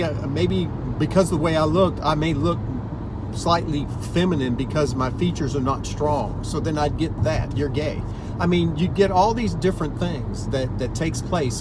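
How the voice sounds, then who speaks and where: Male vlogger, slightly high pitch, emphatic personal monologue, noisy recording with vehicle sounds. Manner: earnest, emphatic, repeats words for stress. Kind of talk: personal opinion monologue to listeners.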